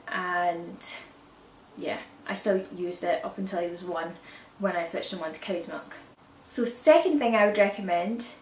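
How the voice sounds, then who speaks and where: A woman with a croaky voice from a cold, chatting casually to camera about baby products and often correcting herself. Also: medium pitch. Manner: rambling, self-correcting, chatty. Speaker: parenting vlogger. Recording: echoey room, distant mic, faint hiss.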